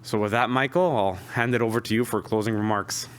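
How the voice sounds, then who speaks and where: A man's voice: fairly low pitch, fairly even intonation, a little fast. A man from Peru, a native Spanish speaker, confidently summarizing company finances for investors. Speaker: finance executive presenting. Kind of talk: investor presentation.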